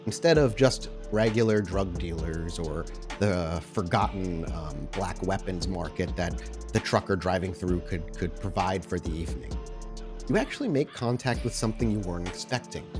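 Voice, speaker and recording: Low-voiced man narrating over music. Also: expressive, normal speed. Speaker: game master, storyteller. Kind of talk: atmospheric narration of a tabletop game.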